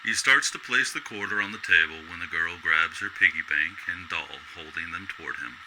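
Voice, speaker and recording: Deep male storyteller over loud noise. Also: moderate pace. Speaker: narrator. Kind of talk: scripted storytelling narration for radio drama.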